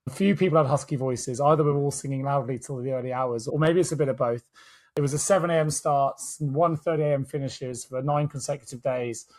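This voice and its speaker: A man with a slightly husky voice, chatting upbeat on a podcast from his home office over a video call. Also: medium pitch, a little fast, a little mellow. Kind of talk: casual conversational podcast chat.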